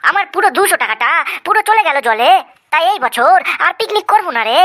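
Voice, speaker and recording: Cheeky high, bright cartoon schoolkid voiced by a woman. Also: very animated, fast talker, full character voice. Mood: grumbling. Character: cheeky, boastful, food-loving schoolkids in a cartoon. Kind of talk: comedy cartoon dubbing.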